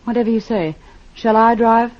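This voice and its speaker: A woman's voice: a little high, fairly even intonation, normal speed, lightly acted. A woman playing an anxious wife hiring a detective, in 1940s radio acting style. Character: worried, anxious wife, detective's female client. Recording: dull, hissy vintage radio recording.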